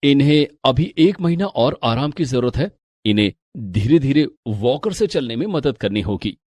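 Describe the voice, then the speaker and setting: A man's voice: mid-range voice, lively intonation, moderate pace, darkish tone, no echo, natural voice. Man playing a formal, matter-of-fact doctor. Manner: formal, advising.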